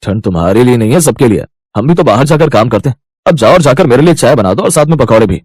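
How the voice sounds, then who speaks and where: A man playing a demanding, complaining young husband or in-law with a brisk, entitled manner in a moral story. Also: mid-range voice, expressive, a little mellow, husky, no room sound, studio quality. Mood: dismissive.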